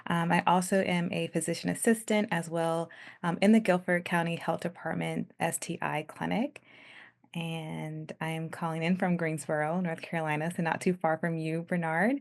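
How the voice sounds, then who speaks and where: A woman's voice: lowish voice, level tone, moderate pace, creaky. A woman who is an assistant professor and physician assistant, introducing herself on a video call in a friendly, matter-of-fact way.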